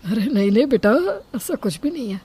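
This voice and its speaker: A woman's voice: a little high, very animated, normal speed, dark tone, slightly rough, full character voice. A woman voicing a meek, reassuring middle-aged father in an audio story, deferential and soothing. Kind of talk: acted Hindi audio story.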